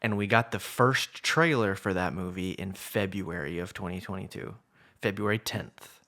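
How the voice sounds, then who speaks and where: Low-pitched man chatting casually on a podcast. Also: bright, forceful tone. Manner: halting, conversational, full of "like". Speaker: podcast co-host discussing films. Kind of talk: casual chat.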